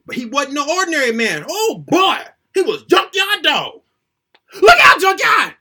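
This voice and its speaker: Animated high-voiced man telling a story. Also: moderate pace. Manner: animated, conversational storytelling in a colloquial voice. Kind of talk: dramatic reading aloud of a first-person childhood story.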